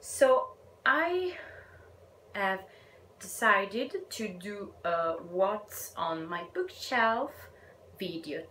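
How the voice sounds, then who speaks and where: A woman's voice: medium pitch, animated, pushed and bright. A young Italian woman with an Italian accent, shyly reviewing books in a hesitant vlog and trailing off into whispers. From Italy. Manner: slow, hesitant, shy, drops to a whisper at times. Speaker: book vlogger. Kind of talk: casual book review vlog. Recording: echoey room with faint ambient noise.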